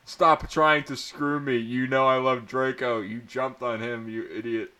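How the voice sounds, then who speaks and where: A man's voice: mid-range voice, steady tone, unhurried, pushed and bright. A man voicing an angry, foul-mouthed, dramatic teenage goth girl with a blunt manner, in a comic story reading. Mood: furious. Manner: indignant, blunt.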